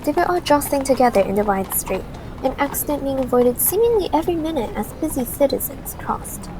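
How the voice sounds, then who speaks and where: A woman's voice: high voice, animated, fairly quick, background noise. A woman playing the storytelling narrator of a radio drama, reading scripted narration. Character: storytelling narrator of a youth adventure.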